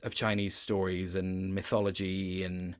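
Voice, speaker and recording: A man's voice: low-pitched, flat delivery, clean tone. Thoughtful man in a casual interview. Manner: thoughtful, halting, with many self-corrections. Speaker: voice actor, interview guest.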